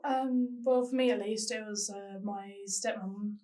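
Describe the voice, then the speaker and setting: A woman's voice: medium pitch, steady tone, measured pace, mellow, distant mic. A young woman from South London, a paramedic student chatting casually, often laughing and hesitating. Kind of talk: casual chat.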